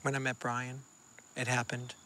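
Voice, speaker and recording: A man's voice: medium pitch, monotone, moderate pace, sharp tone, a little hiss or hum. A man in his fifties from Massachusetts, speaking openly and reflectively in a personal documentary interview. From Massachusetts, USA. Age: 50s. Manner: open, heartfelt, reflective.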